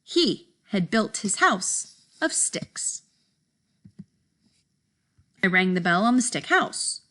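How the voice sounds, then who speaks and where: A woman's voice: medium pitch, big pitch swings, takes it slowly, a bit bright. A woman reading a children's picture book aloud expressively, like a school principal to pupils.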